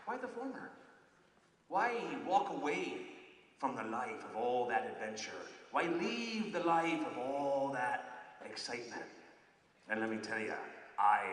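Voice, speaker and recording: A man's voice: medium pitch, fairly bright tone, reverberant, long reverb tail, far from the mic, pitched below the performer's own voice. A man performing a stage comedy monologue as a boastful former pirate turned motivational speaker, with a put-on pirate accent, hammy growls and pirate slang. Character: boastful male pirate turned self-help guru. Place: large event hall with audience.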